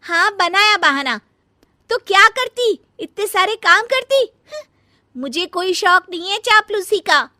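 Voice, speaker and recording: A woman's voice: extremely high pitch, expressive, measured pace, bright, forceful tone, no echo, studio quality, heavily acted. A woman playing a lazy, scheming young daughter-in-law in a cartoon family story, whiny and dramatic. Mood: defiant. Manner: whiny, put-upon, dramatic complaining.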